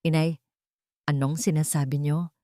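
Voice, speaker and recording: A woman's voice: deep voice, little pitch movement, normal speed, dark tone, no room sound, clean studio sound, close to an everyday voice. A woman voicing a humble, frightened young daughter-in-law, timid and pleading, in an audio drama story. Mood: fearful, pleading.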